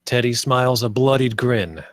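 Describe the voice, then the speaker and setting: A man's voice: mid-range voice, normal speed, dry recording, studio quality. A man reading stage directions aloud as scripted screenplay narration.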